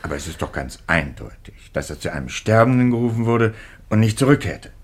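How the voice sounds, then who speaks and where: An actor playing an earnest, worried parish priest in a 1950s German radio play, speaking with formal, urgent insistence. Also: male voice, fairly low pitch, very animated, fairly quick. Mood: earnest, agitated.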